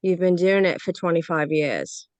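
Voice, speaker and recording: A woman's voice: fairly low pitch, moderate pace, no echo, natural voice. A woman playing a laid-back, middle-aged slacker friend in a script read-through, wry and unbothered.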